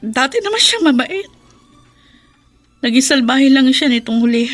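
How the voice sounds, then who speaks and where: A husky-voiced, weary mother. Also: high voice, very animated, moderate pace. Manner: soft and weary. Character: pregnant mother, sorrowful and gentle. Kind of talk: radio drama acting.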